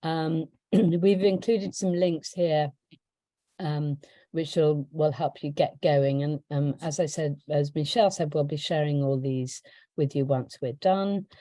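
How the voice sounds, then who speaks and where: A woman hosting a business webinar, talking through slides in a hesitant way with lots of ums and a croaky voice. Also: sits low, steady tone, unhurried, warm tone, dry recording. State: croaky voice, clearing throat. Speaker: webinar host for a holiday rental business.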